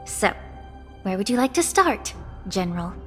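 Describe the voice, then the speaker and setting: An actress playing a composed female alchemist master who explains things calmly. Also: medium pitch, normal speed, in a large hall, faint music behind, natural voice. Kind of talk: game cutscene voice acting.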